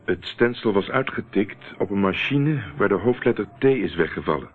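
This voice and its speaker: A man's voice: fairly low pitch, expressive, normal speed, slightly rough. An actor playing a shrewd, teasing investigator in a radio play, wry and controlled as he probes. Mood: probing, firm. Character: shrewd, teasing male investigator, calmly pressing. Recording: muffled, narrow-band old radio recording.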